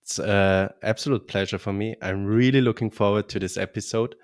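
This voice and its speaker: Casting: Austrian man, lowish voice, guest on a podcast, halting with restarts. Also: steady tone. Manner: halting, many restarts and fillers. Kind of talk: podcast interview.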